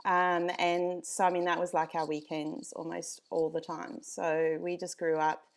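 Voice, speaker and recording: South African woman, dog breeder guest, lowish pitch, loose chatty delivery. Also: flat delivery, measured pace. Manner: chatty, frequent ums, laughs easily. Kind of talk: casual podcast chat.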